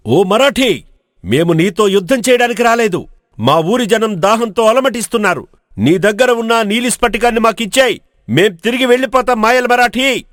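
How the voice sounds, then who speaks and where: A brave village hero, played by a man for a storybook tale. He has a slightly high voice and a commanding, declamatory delivery. The sound is close and dry. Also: animated, normal speed, a bit bright, no echo. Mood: bold, defiant. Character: brave, resolute village hero leading a quest. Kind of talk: dramatic audio storybook acting.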